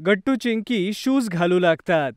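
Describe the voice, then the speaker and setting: A woman's voice: medium pitch, lively intonation, unhurried, darkish tone. A woman narrator reading a scripted children's story.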